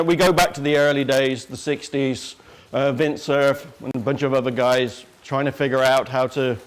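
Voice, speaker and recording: A man with an English accent speaking informally to an audience as a guest presenter, with a joking, self-deprecating manner. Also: medium pitch. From England. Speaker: guest speaker from an internet governance organisation. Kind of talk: informal talk with slides. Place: crowded lecture room before an audience.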